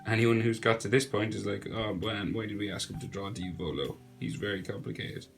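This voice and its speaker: A man's voice: lowish voice, a little fast, creaky. A young man with a cold, sounding nasal, chatting casually as he talks through a drawing step by step. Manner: relaxed, chatty step-by-step instruction. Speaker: drawing tutor. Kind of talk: narrated drawing tutorial. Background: faint music.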